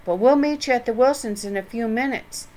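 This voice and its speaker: A woman voicing a cheerful, eager young mischievous boy in a children's storybook, with an excited way of talking. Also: fairly high pitch, a little fast, close to an everyday voice, low-quality recording.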